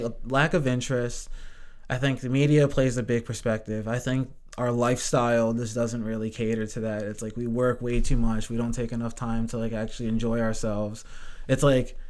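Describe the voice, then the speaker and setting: A man's voice: mid-range voice, fairly even intonation, brisk, darkish tone. A man in casual podcast chat, rambling with constant "like" fillers and casual swearing.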